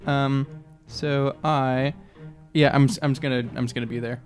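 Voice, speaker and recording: A man's voice: mid-range voice, fairly even intonation, moderate pace. A man playing a tabletop game, talking casually with the other players. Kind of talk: casual actual-play table talk. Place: indoor room, around a gaming table. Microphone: close podcast microphone on stand. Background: faint music.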